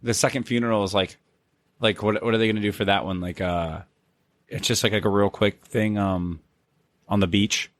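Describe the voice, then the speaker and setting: A man's voice: on the low side, steady tone, normal speed, dry recording, clean studio sound. Laid-back cursing man on a podcast. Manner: profane, laid-back, says like a lot. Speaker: podcast co-host. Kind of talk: casual podcast banter.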